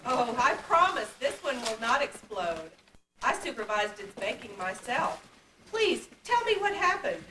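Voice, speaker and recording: A woman's voice: a little high, big pitch swings, measured pace. A woman playing a cheerful, polite young girl princess in an amateur puppet show, earnest and coaxing, recorded on a camcorder. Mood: eager, coaxing. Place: indoor convention stage, distant camera. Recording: echoey, distant amateur camcorder, noisy.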